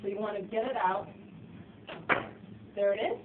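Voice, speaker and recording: A slightly hoarse woman chatting loosely through a cooking demonstration in her home kitchen. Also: medium pitch, moderate pace. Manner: chatty, loose, with fillers and restarts. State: slightly hoarse, getting sick. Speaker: cooking show host. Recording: distant, tinny and noisy home video.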